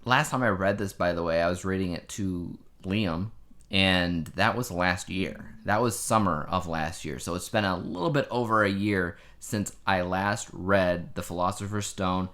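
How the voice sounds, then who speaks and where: A man's voice: deep voice, moderate pace, a bit bright. A man co-hosting a casual chat show, explaining his opinions in a conversational way. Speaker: podcast co-host.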